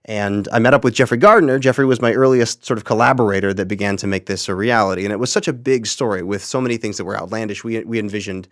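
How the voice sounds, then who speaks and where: Low-voiced young man, dry recording, wry. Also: fast, few pauses, a bit bright, no echo. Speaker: interview guest, audio drama creator. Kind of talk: conversational explanation.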